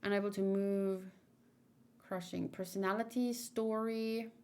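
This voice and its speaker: Slow, halting woman chatting on a livestream. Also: medium pitch, steady tone, darkish tone. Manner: rambling, easily distracted, halting. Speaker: livestream host doing manga read-alongs. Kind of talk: casual livestream chat.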